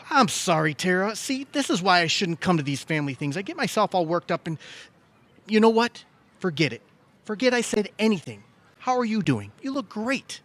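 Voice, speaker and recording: An actor playing an obsessive, aggrieved younger brother in a comedic audio play, exasperated and insistent. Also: male voice, high voice, very animated, normal speed, fairly bright tone. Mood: backing off. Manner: exasperated, insistent, leading questions like a cross-examination. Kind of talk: comedic audio theater dialogue.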